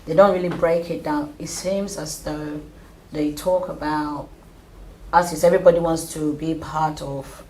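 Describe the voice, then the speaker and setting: Low-voiced woman interviewer. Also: steady tone, a little slow, mellow, low-quality recording. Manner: chatty, many affirming interjections. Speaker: interviewer, host. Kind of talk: conversational interview.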